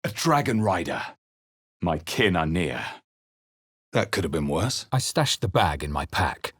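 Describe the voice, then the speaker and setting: A low, husky male adventurer from a fantasy video game. He is brooding and wary, speaking with measured, grave drama in a very dry, close recording. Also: very animated, fairly bright tone, dry recording, full character voice. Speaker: video game player character.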